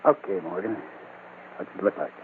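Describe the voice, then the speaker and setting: A man's voice: low-pitched, very animated, moderate pace, creaky, full character voice. An actor playing a domineering, impatient gangster boss with curt, bossy tough-guy talk in an old-time radio crime drama. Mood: cold, commanding. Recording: hissy, muffled old radio broadcast.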